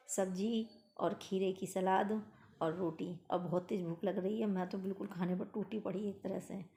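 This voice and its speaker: Homely hoarse woman narrating her day. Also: medium pitch, warm tone. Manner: chatty, homely, talks to viewers directly. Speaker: vlogger. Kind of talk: casual daily-routine narration.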